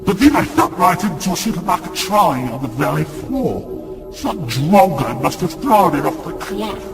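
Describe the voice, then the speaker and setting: A man's voice: fairly high pitch, normal speed, vocal fry, over music. A man playing a wry bloke telling of his silly death. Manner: wry, deadpan storytelling. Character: laid-back man recounting his absurd death. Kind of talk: radio drama acting.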